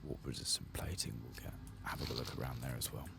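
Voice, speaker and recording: A man with an extremely low voice full of heavy creak gives soft-spoken ASMR game commentary. He murmurs haltingly, as if musing to himself, over loud background noise. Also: fairly even intonation. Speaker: ASMR gameplay streamer.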